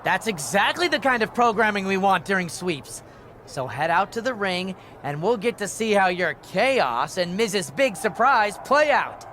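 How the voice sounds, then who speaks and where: A man's voice: high-pitched, big pitch swings, measured pace, sharp tone, slight background noise, full character voice. A man playing an eager, chipper wrestling show producer in a video game, emphatic and keen to please. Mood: excited. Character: eager, chirpy male TV wrestling producer, upbeat company man.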